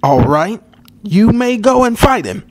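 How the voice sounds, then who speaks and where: A man voicing a cautious, solemn king who speaks in a measured, grave manner in a storybook reading. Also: sits high, very animated, no echo, full character voice. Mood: reluctant, solemn.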